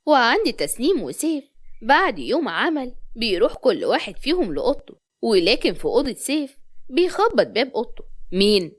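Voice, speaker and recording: A woman's voice: on the high side, big pitch swings, a little fast. A woman with an Egyptian accent narrating a scripted dramatic story as a storyteller.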